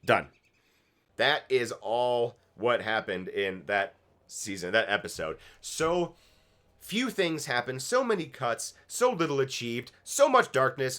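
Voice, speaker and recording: A man's voice: medium pitch, sharp tone. A man co-hosting a TV reaction show, giving casual, opinionated commentary in an emphatic, exasperated manner. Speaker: co-host of a TV episode reaction show. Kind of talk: casual opinionated TV review chat.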